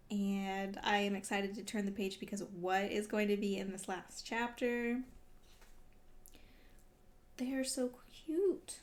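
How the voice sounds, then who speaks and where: A woman doing a casual read-along reaction as a vlogger, talking in an exclamatory, emotionally invested way. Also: medium pitch. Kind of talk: casual reaction commentary while reading.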